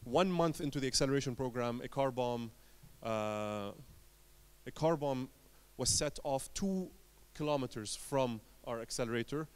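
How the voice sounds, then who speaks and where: A man from Lebanon giving a conference talk as an entrepreneur, telling his story in short emphatic phrases with dramatic pauses. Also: medium pitch, clean tone.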